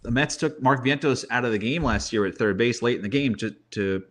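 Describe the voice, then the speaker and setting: A man's voice: medium pitch, brisk. Man talking baseball, stammering as he thinks. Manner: conversational, stammers and repeats words as he thinks aloud. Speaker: baseball commentator, guest analyst. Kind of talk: casual sports talk, off-the-cuff analysis.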